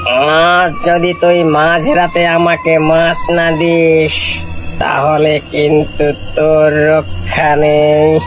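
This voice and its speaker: An actor playing a boastful, menacing fish-eating river ghost in a story cartoon, with drawn-out, taunting threats. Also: male voice, high voice, heavily acted. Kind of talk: Bengali animated horror storytelling.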